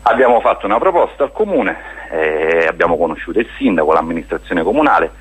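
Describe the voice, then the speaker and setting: A man's voice: low voice, very animated, fast talker, husky. A man speaking as a guest in a radio interview, explaining a project in an earnest way. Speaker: guest, spokesman of a hiking association.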